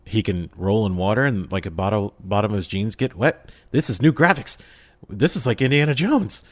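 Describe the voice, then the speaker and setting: Man, deep voice, dry close sound, casual chat, joking, theatrical asides, podcast guest. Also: normal speed, no echo. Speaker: podcast guest, audio drama voice actor.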